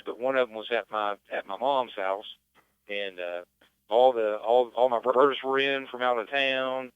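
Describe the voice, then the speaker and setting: Bright, clear middle-aged American man hosting. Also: mid-range voice, no room sound. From West Virginia, United States. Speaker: ham radio net control operator. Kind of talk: unscripted radio net hosting, casual chat. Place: amateur radio transmission. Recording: slightly dull, dry radio-net audio.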